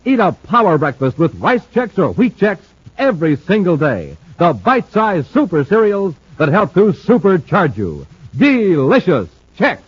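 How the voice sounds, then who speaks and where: A man with a slightly husky voice delivers an energetic vintage radio announcement and ad read, his pitch swinging in a lively way. The recording is muffled and lo-fi, like an old broadcast. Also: measured pace, few pauses, no echo.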